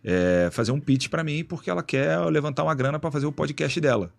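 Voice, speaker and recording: A man being interviewed as a guest in a radio studio, explaining in a relaxed, slangy way with many restarts. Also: a little high, fairly quick, gravelly. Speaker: guest, executive director of an institute.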